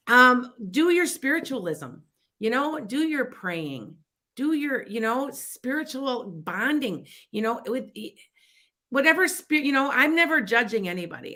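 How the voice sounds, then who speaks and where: A woman's voice: fairly high pitch, sharp tone. A middle-aged woman with a Chicago accent who hosts a psychic advice show, talking in a rambling, chatty way full of restarts and asides. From Wisconsin near the Illinois border. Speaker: psychic radio show host. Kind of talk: casual advice talk on internet radio.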